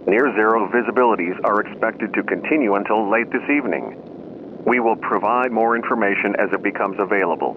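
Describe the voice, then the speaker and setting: A man reading a scripted emergency announcement as a radio station announcer, in a formal, measured manner. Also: fairly low pitch, animated. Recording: dull lo-fi radio sound, loud noise.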